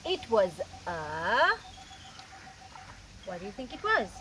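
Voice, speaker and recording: A woman reading a picture book aloud to children in a teacherly, expressive way, stretching words for emphasis and putting questions to her listeners. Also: medium pitch, slow. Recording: clear background noise.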